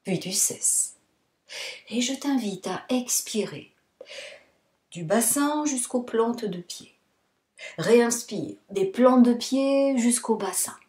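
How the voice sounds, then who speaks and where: A woman yoga nidra instructor guiding a relaxation meditation in a soothing, deliberate manner, in short phrases with pauses. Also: fairly high pitch, animated, darkish tone. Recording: roomy, echoing sound.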